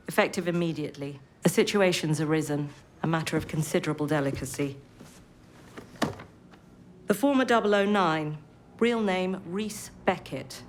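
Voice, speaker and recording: Woman as spymaster, lowish female voice, formal, dry, commanding, game cutscene, faint music. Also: lightly acted. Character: authoritative, dryly commanding female British spy chief. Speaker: intelligence chief briefing agents. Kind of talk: video game cutscene acting.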